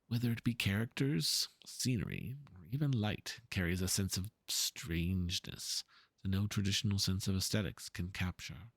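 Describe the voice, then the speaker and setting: Man acting out fervent video game painter, florid and dramatic, lowish creaky voice, very animated pitch. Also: no echo, exaggerated voice. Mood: reverent, fervent. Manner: florid, dramatic, halting mid-thought. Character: theatrical, earnest male painter, pompous and fervent about art. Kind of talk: dramatic reading of game dialogue.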